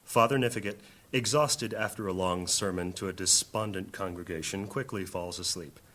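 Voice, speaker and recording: A man narrating a scripted fantasy audio drama in a measured, descriptive storytelling manner. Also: low voice, pushed and bright.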